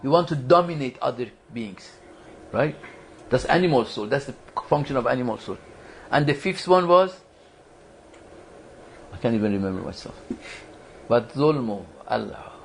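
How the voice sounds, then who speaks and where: A man's voice: medium pitch, expressive, normal speed, vocal fry, pauses often, slight background noise, low-quality recording. A man giving a religious study lecture to a small group, talking conversationally, quizzing the audience and often restarting his sentences. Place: lecture room at a community foundation.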